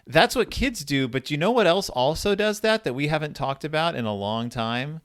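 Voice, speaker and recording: A male host talks through a story in a casual, conversational way. His pitch moves around a lot as he retells and reads passages, and the sound is dry and close with no room to it. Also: lively intonation, moderate pace, fairly bright tone, clear, resonant tone, no room sound. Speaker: podcast host discussing horror fiction.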